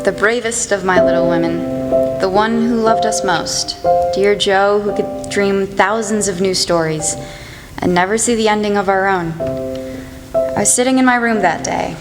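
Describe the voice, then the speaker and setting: A woman portrays a tender middle-aged mother in a radio play. Her medium-pitched voice is gentle and sincere, carried in a long-echoing hall with music clearly behind it. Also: normal speed, natural voice. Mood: wistful narration. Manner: gentle, earnest, sentimental.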